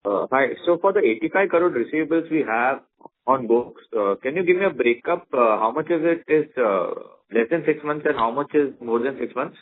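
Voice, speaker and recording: A man's voice: medium pitch, lively intonation, fairly quick. A man with an Indian accent asking questions as an investor on a conference call, heard over a phone line. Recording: tinny narrow phone line.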